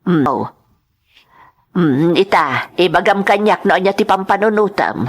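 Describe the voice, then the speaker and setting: A woman's voice: mid-range voice, expressive, unhurried. A woman playing a concerned village mother in a radio-style drama, coaxing and fussing, with an Ilocano accent.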